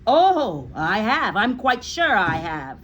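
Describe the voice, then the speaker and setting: A woman voicing a cruel, bullying headmistress in a storybook, loud, emphatic, scornful and gloating. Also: medium pitch, very animated, takes it slowly, dull audio, a little hiss or hum, pitched above the performer's own voice.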